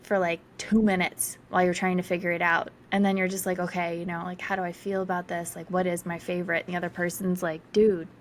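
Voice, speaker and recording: A woman's voice: lowish voice, little pitch movement, fast talker, few pauses, a little hiss or hum. A woman from South Carolina, a guest astrologer, talking casually in an interview with many fillers and laughs. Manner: chatty, lots of fillers and laughter.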